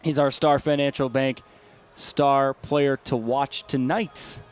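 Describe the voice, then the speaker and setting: A man's voice: mid-range voice, flat delivery, slow pace, clear, resonant tone. A man with an American accent calling baseball play-by-play as a live broadcast commentator.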